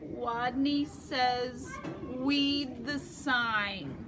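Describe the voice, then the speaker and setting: A woman voicing a shy little male rat who swaps r sounds for w, speaking slowly and deliberately. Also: high-pitched, background noise, voice pushed up. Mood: timid. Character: timid small male rat, bashful, can't say r.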